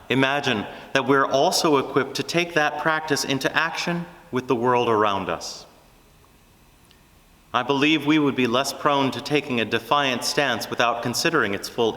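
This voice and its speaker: A man preaching a reflective, prayerful sermon, speaking earnestly in measured phrases with deliberate pauses. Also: mid-range voice, fairly bright tone, long reverb tail.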